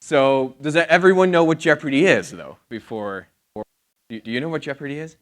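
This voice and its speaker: A man's voice: on the high side, a little fast. A man in his thirties from outside the US, giving a chatty conference talk on a theater stage with a lapel mic. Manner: loud, chatty, self-deprecating. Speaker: conference speaker.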